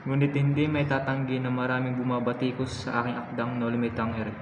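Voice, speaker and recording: Man acting as earnest son, flat and echoey. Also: mid-range voice, a little fast, close to an everyday voice. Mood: resigned, earnest. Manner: formal, polite, even recitation. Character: dutiful, earnest grown son returned home. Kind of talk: amateur radio drama acting. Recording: echoey hall, dull sound, clear background noise.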